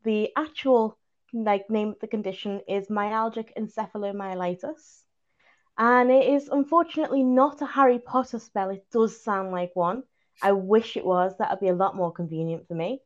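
A young woman from Liverpool with a Liverpool accent, chatting casually as a podcast guest, self-deprecating and quick to laugh. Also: mid-range voice, muffled recording. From Liverpool, England. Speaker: podcast guest, video journalist. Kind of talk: casual chat.

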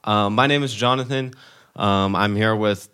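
A young American man of eighteen with a fairly low voice. He acts as host in a casual group podcast, talking informally. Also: normal speed, sharp tone, clear, resonant tone. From Tennessee, United States.

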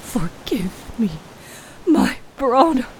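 A woman's voice: sits high, sing-song, slow, husky, voice pushed up, heavily acted. A woman voicing a desperate old grandmother in a fantasy story, pleading with emotion. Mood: grieving.

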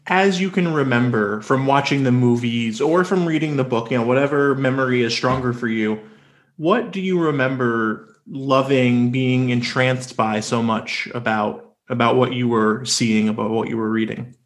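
A man's voice: mid-range voice, moderate pace. Man hosting a podcast, chatty and playful, roomy echo. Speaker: podcast host. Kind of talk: casual interview. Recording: echoey room.

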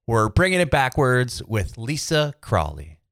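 A man's voice: medium pitch, very animated, a little slow, a bit bright, no echo, clean studio sound. A young man hosting a podcast, reading the show intro and then asking eager, rambling questions. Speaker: podcast host. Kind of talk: podcast intro and casual interviewing.

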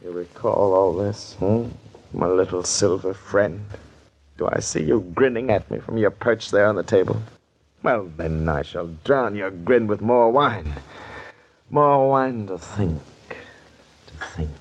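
A man's voice: on the low side, takes it slowly, muffled recording. An actor playing a bitter, jealous rich man, theatrical and intense, in 1940s radio drama style. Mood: bitter, brooding. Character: bitter, jealous wealthy villain obsessed with a woman.

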